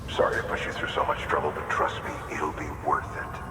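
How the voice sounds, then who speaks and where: A man's voice: very deep voice, monotone, brisk, echoey room, long reverb tail, far from the mic, very noisy. A man playing an encouraging, easygoing facility staffer in a video game, with a familiar, approving manner. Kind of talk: scripted video game dialogue.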